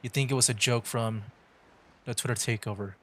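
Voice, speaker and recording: A man's voice: mid-range voice, fairly even intonation, brisk, a bit bright. Chatty, rambling man livestreaming a game. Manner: rambling, repeats himself, chatty. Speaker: livestreaming VTuber gamer. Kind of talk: casual game livestream commentary.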